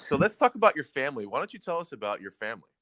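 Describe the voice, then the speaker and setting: A man's voice: medium pitch, sing-song, very fast, a bit bright, no room sound. A man hosting a podcast interview, upbeat and encouraging toward his guest. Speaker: podcast host.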